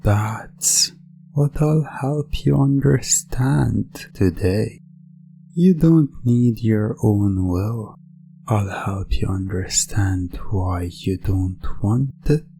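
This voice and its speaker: A hypnotist speaking in an ASMR style, commanding and coaxing, with deliberate pauses between short phrases. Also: mid-range voice, mellow, a little hiss or hum.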